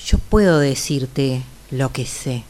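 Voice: mid-range voice, lively intonation, slow pace, darkish tone. A performer with an Argentine accent acting a persistent mentor who urges trust, in a radio drama exercise. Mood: insistent, soft. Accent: Argentine Spanish. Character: persistent mentor urging someone to trust and learn.

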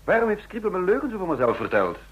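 An actor in a radio play playing a cool, wry and insolent secret agent with a self-possessed way of talking. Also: male voice, high-pitched, huge pitch swings, fast talker, dull audio, full character voice. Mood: defiant. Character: cool, insolent male secret agent posing as an industrialist's son. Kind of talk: radio play acting with first-person narration. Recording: clear hum and noise, old radio recording.

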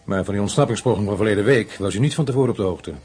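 An actor playing a sceptical police inspector in a radio drama, questioning and deliberate, with a husky voice. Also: male voice, lowish voice, a little mellow. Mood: probing. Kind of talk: radio play acting.

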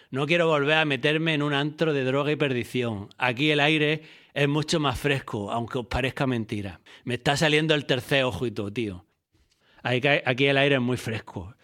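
A man from Jaén, a former punk band member talking in a relaxed, rambling, slangy way. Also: mid-range voice. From Jaén, Spain. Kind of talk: laid-back interview monologue.

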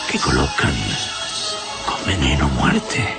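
Dying alien slave, deep voice, halting fragmented speech, sorrowful, haunted, radio drama, prominent music bed. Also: male voice, expressive, full character voice. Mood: pained, fearful. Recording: loud music under the voice.

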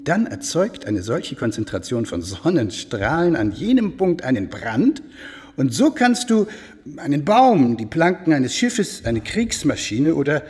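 A proud medieval castle lord for a radio play. His voice is husky and fairly high, with lively swings. He talks with ceremonious courtesy in a long, ringing hall. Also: a little slow, full character voice. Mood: proud explaining. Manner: ceremonious, explanatory. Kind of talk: literary radio drama acting.

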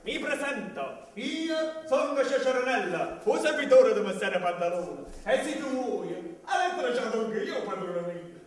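A man's voice: very high voice, sing-song, a little slow, hardly any pauses, heavily acted. A man playing a brash, scheming servant in a commedia dell'arte comedy, with a Neapolitan accent and a blustering, exclamatory delivery. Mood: cunning. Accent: southern Italian, Neapolitan. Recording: very echoey stage, distant microphone.

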